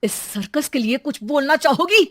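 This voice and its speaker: An irritated father who works from home, put on by a woman, speaks in a high, lively voice. He complains drily and teases in cartoon-story banter. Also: moderate pace. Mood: reproachful. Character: irritated, teasing husband and father working from home. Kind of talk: animated cartoon story voice acting.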